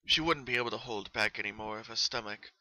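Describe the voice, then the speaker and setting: A man reading a story as narrator, in an expressive, earnest dramatic style. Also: mid-range voice, quick pace.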